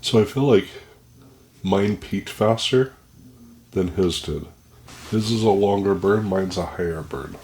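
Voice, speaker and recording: A man with a very deep, low voice talks haltingly through a pepper tasting, his nose running and blocked up. He speaks into a plugged-in microphone at home, with a faint hiss behind him. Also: darkish tone. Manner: halting, describing the burn as it builds. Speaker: pepper reviewer. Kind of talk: casual vlog commentary. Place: indoors at home.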